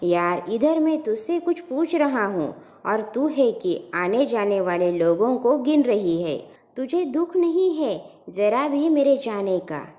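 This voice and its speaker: A woman's voice: on the high side, lively intonation, slow pace, a little mellow. A woman voicing an emotional, impatient young man in love in an audio story, pleading and informal. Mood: hurt.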